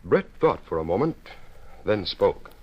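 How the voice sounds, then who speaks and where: A man narrating an old time radio drama as the announcer, with a husky voice, setting the scene. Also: lowish voice, big pitch swings, unhurried, exaggerated voice. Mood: measured narration. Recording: dull old radio recording.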